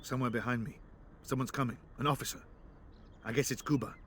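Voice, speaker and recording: A man's voice: medium pitch, fairly even intonation, fast talker, fairly bright tone, slight background noise, natural voice. An actor playing a weary male resistance leader who talks tersely and with determination. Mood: determined. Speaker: game protagonist.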